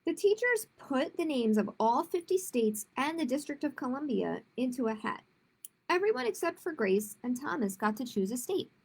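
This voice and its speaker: A woman's voice: high-pitched, big pitch swings, normal speed, husky. A woman hosting a children's story time, chatty and emphatic, repeating phrases as she talks and reads aloud. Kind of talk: casual chat with viewers and read-aloud storytelling.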